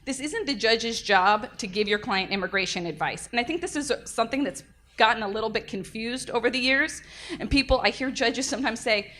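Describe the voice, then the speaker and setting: A young adult woman, a defense attorney, giving an energetic conference lecture into a microphone. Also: a little high, a little fast. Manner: energetic, lively, self-described loud. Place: conference room. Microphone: handheld or podium mic.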